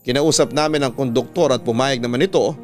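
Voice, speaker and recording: A Filipino man narrates a scripted love story as a radio host. His voice sits at a middle pitch. Faint guitar music plays underneath. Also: brisk, darkish tone. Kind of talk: scripted dramatic narration of a letter.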